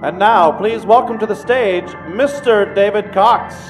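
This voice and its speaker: High-pitched man announcing over music. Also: measured pace, rough recording. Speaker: show announcer. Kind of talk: old-time radio show announcement.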